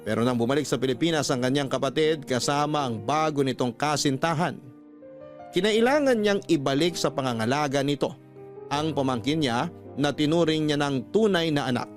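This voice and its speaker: A man with a Filipino accent reading scripted, sentimental stories as a radio host in a measured way. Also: on the high side. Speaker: radio storytelling host reading listener letters. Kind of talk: scripted dramatic narration. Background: music bed.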